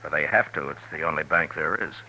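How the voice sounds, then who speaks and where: A deep, firm frontier marshal. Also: male voice, fast, bright, forceful tone, a little hiss or hum, exaggerated voice. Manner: firm and commanding. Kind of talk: 1950s radio drama acting. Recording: dull, lo-fi old radio broadcast.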